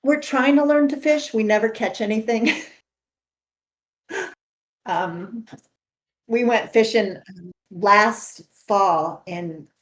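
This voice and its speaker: A woman's voice: mid-range voice, takes it slowly, pauses often, fairly bright tone. A woman trader chatting casually with a host, laughing easily. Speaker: guest trader. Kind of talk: casual chat.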